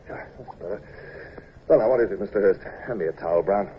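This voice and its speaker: An actor playing an imperious, irascible naval commander with a dry humour, in brisk old radio drama style. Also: male voice, on the low side, natural voice. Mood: blustering, exasperated. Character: imperious, irascible British naval commander at sea, dryly humorous. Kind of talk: 1950s radio drama acting, first-person narration and dialogue. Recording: dull, hissy old radio broadcast recording.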